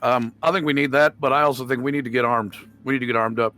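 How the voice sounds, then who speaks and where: A man's voice: medium pitch, steady tone, fast, bright, forceful tone, faint music behind. A man talking casually as a player in a tabletop game, blunt and sweary.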